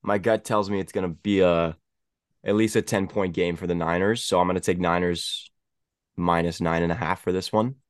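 A young man hosting a casual sports podcast, stuffy from a head cold. His voice sits very low. He talks in halting stretches, restarting often, and the sound is dry and close-miked. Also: steady tone, smooth, dry recording. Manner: halting, many restarts and fillers. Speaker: podcast host.

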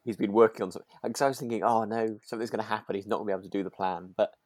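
A man's voice: lowish voice, steady tone, racing, darkish tone, no echo, clean studio sound. A man, chatting informally as a podcast co-host and often checking for agreement. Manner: informal, tag questions seeking agreement. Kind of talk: casual chat about a radio drama.

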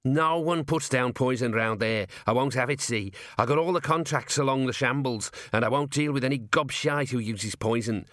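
A man voicing a tiny, belligerent male gnome rat-catcher who talks gruff and threatening in rough slang. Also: mid-range voice, normal speed, few pauses, a bit bright, no echo, clean studio sound, natural voice. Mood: fierce.

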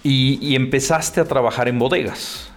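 A man's voice: mid-range voice, normal speed, fairly bright tone, soft background music. A man hosting an interview show, friendly and echoing his guest's words. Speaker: host.

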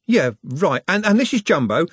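A man voicing a rough but good-natured young working-class man in a storybook, blunt and slangy. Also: high-pitched, big pitch swings, moderate pace, no echo, voice pushed up, full character voice. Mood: nervous, hurried.

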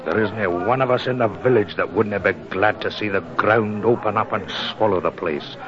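A man acting a grim Scottish village innkeeper in an old radio play. His voice is low and folksy, respectful yet full of foreboding. The sound is that of a dull 1940s broadcast. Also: normal speed, crowd noise, lightly acted. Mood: grim, bitter. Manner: ominous, deferential, folksy. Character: grim, superstitious Scottish village innkeeper who hates the local laird.

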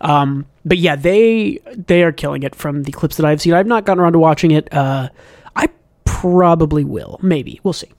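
A man's voice: a little high, normal speed, a little mellow, no room sound, clean studio sound. A man hosting a manga podcast in casual chat, rambling along with a fan's enthusiasm. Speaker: podcast host.

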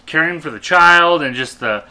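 A man's voice: on the high side, normal speed, gravelly, over a noisy crowd. A man co-hosting a casual film fan podcast, speaking in an opinionated, slangy way with some swearing. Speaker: podcast co-host.

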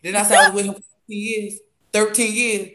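A woman from New York chatting candidly and casually as a panel guest, expressive and emphatic, often repeating phrases for effect. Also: mid-range voice, fairly quick, noisy background. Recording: roomy echo.

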